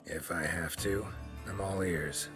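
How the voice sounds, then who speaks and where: An actor playing a stoic, sardonic monster hunter in a video game, speaking in a terse, gruff, deadpan way. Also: male voice, low-pitched, takes it slowly, music in the background. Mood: dry, sardonic. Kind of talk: understated video game character acting.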